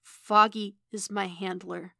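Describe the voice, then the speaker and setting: A woman voicing a distressed, earnest young man in a story, halting, choked and pleading. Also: on the high side, fairly even intonation, no room sound, studio quality, voice pushed up. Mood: choked, defiant.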